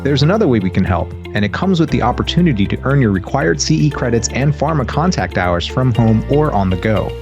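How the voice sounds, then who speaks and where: A man hosting a podcast, reading an ad script and introducing his guest in a smooth, announcer-like way. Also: mid-range voice, animated, brisk, few pauses, lo-fi sound. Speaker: podcast host. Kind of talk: scripted ad read and interview questions. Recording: music bed under the voice.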